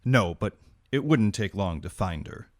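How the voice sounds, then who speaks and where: An actor playing a dutiful, deferential government agent in an audio drama, reporting in a formal, businesslike way. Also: male voice, on the low side, animated, a little slow, darkish tone. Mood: dutiful, concerned.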